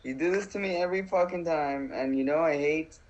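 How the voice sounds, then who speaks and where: A man's voice: a little high, brisk, dull audio. Teasing, laughing man on a stream. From Ecuador. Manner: teasing, laughs a lot, swears. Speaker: livestream co-host. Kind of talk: casual banter on a livestream.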